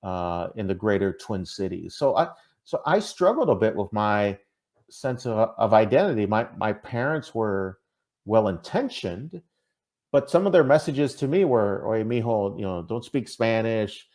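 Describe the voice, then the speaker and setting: A man's voice: on the low side, sing-song, measured pace, darkish tone. A man with a Minnesotan accent, a podcast guest talking casually and hesitantly, with many fillers and restarts. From Minnesota, USA. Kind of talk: casual interview talk.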